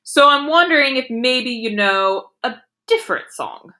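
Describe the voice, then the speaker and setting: A woman's voice: sits high, animated, unhurried, sharp tone, slight background noise, voice pushed up, heavily acted. A woman voicing a patient, weary male schoolteacher in a storybook, coaxing tactfully with hesitant questions. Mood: awkward, gentle.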